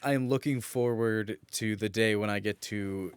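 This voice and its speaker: A man co-hosting a podcast in casual, teasing banter with a friend, speaking in an animated and sometimes profane way. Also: fairly low pitch, normal speed, clean tone, dry recording, studio quality. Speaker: podcast co-host.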